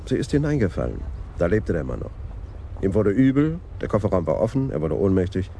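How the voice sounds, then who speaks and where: A stubborn, sardonic senior policeman in a radio crime play. His low voice swings freely in pitch, over faint street noise. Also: big pitch swings, brisk, vocal fry, mellow, heavily acted. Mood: sardonic, insistent. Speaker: police detective. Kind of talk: 1980s radio drama acting.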